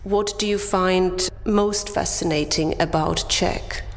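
A woman's voice: fairly low pitch, fairly even intonation, takes it slowly. A woman interviewer asking composed, formal questions. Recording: long hall reverb.

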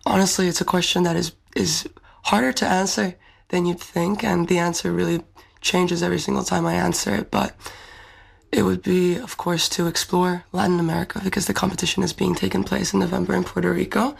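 A woman's voice: on the low side, level tone, a little fast, gravelly, husky. A young woman from the Czech Republic, a pageant winner answering interview questions in a thoughtful, earnest way. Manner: thoughtful, earnest, fluent English speaker.

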